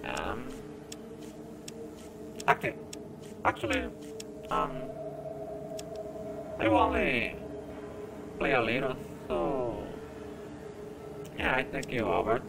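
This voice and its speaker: A Brazilian man hosts casual gameplay commentary with a native Portuguese speaker's accent. He talks slowly and hesitantly with lively swings in pitch, over clear background noise. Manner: hesitant, expressive. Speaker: gamer, gameplay host.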